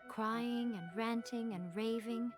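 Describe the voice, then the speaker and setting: Sly, teasing shrine priestess. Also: female voice, a little high, fairly even intonation, slow. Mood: mock sorrowful. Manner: playful, knowing, eloquent. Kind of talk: video game voice acting. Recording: game music behind the voice.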